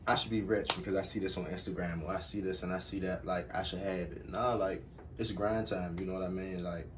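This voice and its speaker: A man's voice: deep voice, steady tone, a little fast, noisy background. A young man in his twenties giving laid-back, streetwise advice in casual chat. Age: 20s. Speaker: interviewee.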